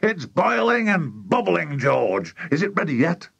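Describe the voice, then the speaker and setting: A man voicing an excitable, blustering, impatient father in a children's story, sputtering with excitement. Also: mid-range voice, moderate pace, slightly rough, lo-fi sound, voice pushed up. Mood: excited, impatient.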